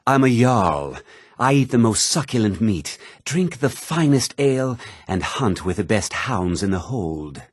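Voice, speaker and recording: An actor voicing blunt, haughty men of a fantasy game world. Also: male voice, lowish voice, very animated, measured pace, husky, dry recording, studio quality, exaggerated voice. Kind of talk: fantasy game character dialogue.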